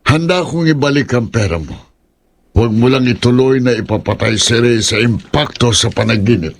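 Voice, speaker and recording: A man acting an earnest, principled older ritualist in a horror radio drama, speaking in a husky, pleading manner. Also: medium pitch, animated, moderate pace.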